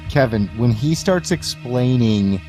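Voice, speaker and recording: Guy game master, slow deliberate narration, lowish mellow voice, bit of music under it. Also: animated, low-quality recording. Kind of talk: narrating a tabletop roleplaying game.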